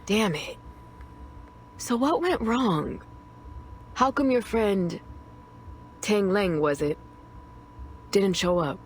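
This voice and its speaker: A woman's voice: mid-range voice, expressive, slow pace, long pauses, slight background noise. A woman playing a brash, stubborn, hot-headed police officer with a blunt, defiant manner. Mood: skeptical.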